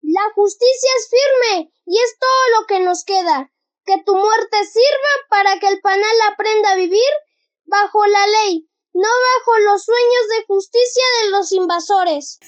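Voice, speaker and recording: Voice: extremely high pitch, measured pace. A schoolchild with a Mexican accent playing a stern, upright male bee president in a school audio drama, solemn and declamatory. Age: child.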